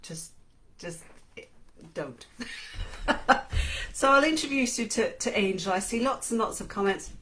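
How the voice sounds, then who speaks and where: A woman's voice: mid-range voice, expressive, measured pace, pauses often, fairly bright tone. A middle-aged woman with an English accent, co-hosting a live-streamed book show in a chatty, self-deprecating way, often laughing. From England and Switzerland. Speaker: podcast co-host. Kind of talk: live-streamed casual chat.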